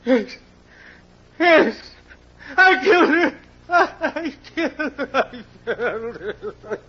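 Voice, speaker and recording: An actor playing a claustrophobic murderer breaking down in terror, pleading and repeating himself in old radio drama style. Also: male voice, very high-pitched, lively intonation, takes it slowly, sharp tone, heavily acted. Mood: hysterical confession. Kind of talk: 1940s radio acting. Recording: muffled vintage radio recording.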